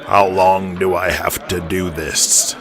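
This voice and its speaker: A man voicing a surly, proud veteran champion wrestler in an audio drama, blunt, deliberate and grumbling. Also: sits low, flat delivery, crowd noise, voice pushed down.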